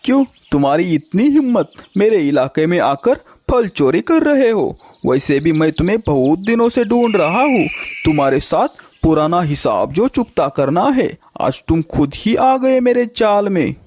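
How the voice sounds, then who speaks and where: A man's voice: high voice, very animated, normal speed, few pauses, darkish tone, no room sound. A man voicing a menacing, territorial male bird villain in a children's cartoon story, accusing and threatening.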